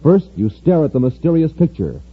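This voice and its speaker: A man's voice: a little high, sing-song, fairly quick, husky. A man announcing and narrating a 1950s radio show with an emphatic, theatrical showman delivery. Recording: muffled old radio recording, faint noise.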